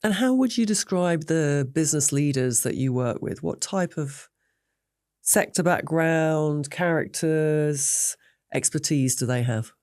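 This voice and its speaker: A woman hosts a business interview, moving briskly from one question to the next. Her voice sounds dry and close, with no room echo. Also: low-pitched, animated, darkish tone. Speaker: host.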